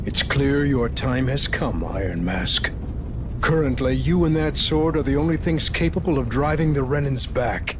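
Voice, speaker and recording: Guy doing fatherly doc mentor in a game, measured, mid pitch, clear rumble in the background. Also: close to an everyday voice. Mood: accepting, grave. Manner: measured, paternal. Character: paternal male doctor, supportive mentor to young rebel. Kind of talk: video game cutscene acting. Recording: clear rumbling noise behind.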